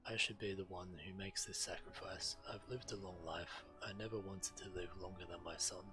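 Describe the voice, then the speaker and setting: Man acting an earnest, low-voiced old druid. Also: fairly even intonation, brisk, close to an everyday voice. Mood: mournful resolve. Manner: solemn, earnest. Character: earnest old male druid, mournful father seeking atonement. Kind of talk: fantasy game voice acting. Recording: music behind the voice.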